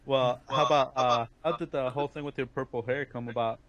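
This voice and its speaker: A man's voice: medium pitch, steady tone, brisk, a bit bright, highs cut off, a little hiss or hum. A man co-hosting a talk podcast, chatting casually and putting questions to the others. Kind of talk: casual chat.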